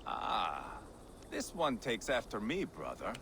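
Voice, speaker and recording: Brash Viking warrior, forceful, wildly animated. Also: male voice, fairly low pitch, slow, slight background noise, full character voice. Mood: sneering. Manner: mocking, foul-mouthed, theatrical. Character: brash, crude, bloodthirsty Viking warrior brother. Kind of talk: video game cutscene acting.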